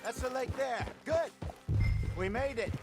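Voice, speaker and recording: A seasoned old American outlaw, fatherly and wry, talks in a fairly high voice. His folksy Western game dialogue sits over loud music and horse hooves. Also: a little slow. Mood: easygoing. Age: older. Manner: folksy, conversational. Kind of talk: video game voice acting, Western drama dialogue.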